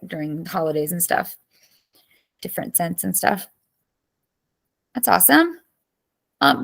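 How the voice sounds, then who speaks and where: A woman's voice: on the low side, vocal fry. A woman in her thirties teaching a live online class, chatty and greeting students by name, with her voice cracking as she loses it.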